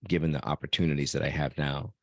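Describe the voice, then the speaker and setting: Very deep-voiced man from El Salvador. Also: fairly even intonation, fast, fairly bright tone, dry recording. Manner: reflective, thinks aloud with fillers. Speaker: podcast host, interviewed as guest. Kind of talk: casual interview conversation.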